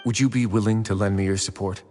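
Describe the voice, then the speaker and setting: A man playing a calm, courteous warden. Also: on the low side, long reverb tail, faint music behind, close to an everyday voice. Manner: measured, polite, formal.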